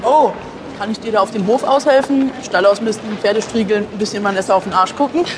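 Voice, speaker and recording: A man's voice: high voice, fairly quick, close to an everyday voice. A young actor playing a cheeky teenage boy in a radio play, teasing his friends. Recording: outdoor film sound with loud crowd noise.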